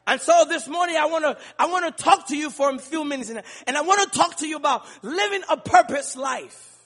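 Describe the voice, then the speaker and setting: High-voiced preacher in an echoing church. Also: male voice, fairly quick. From Liberia. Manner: rhythmic call-and-response preaching, building to shouts. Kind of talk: sermon. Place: large church sanctuary. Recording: long church reverb.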